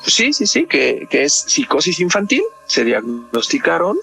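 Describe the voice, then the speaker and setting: A man's voice: on the high side, wildly swooping pitch, measured pace, creaky, pushed and bright, faint music behind. A Mexican man explaining things conversationally as a psychologist guest on a podcast, often ending phrases with a tag question. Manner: constantly checks with tag questions.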